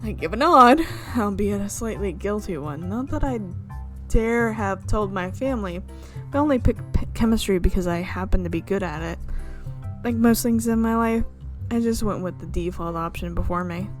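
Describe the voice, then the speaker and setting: A woman's voice: mid-range voice, lively intonation, normal speed. A woman reading a visual novel aloud as a let's player, with her own asides. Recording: music behind the voice. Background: game music.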